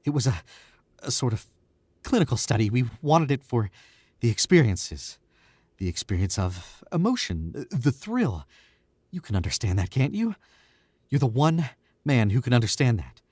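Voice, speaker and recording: A man's voice: medium pitch, big pitch swings, normal speed, long pauses, darkish tone, breathy edge, no echo. An actor playing a nervous young male murderer in a 1940s-style radio play, stammering and pleading. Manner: stammering, pleading, self-justifying.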